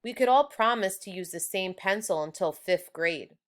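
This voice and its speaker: A woman's voice: mid-range voice, lively intonation, moderate pace, lightly acted. A woman reading the lines of a know-it-all third-grade girl in a children's storybook. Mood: informative.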